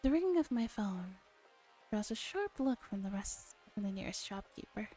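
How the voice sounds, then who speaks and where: A woman streamer reading a visual novel aloud in a soft ASMR style, often dropping to a whisper. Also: medium pitch, big pitch swings, moderate pace, rough recording. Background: background music.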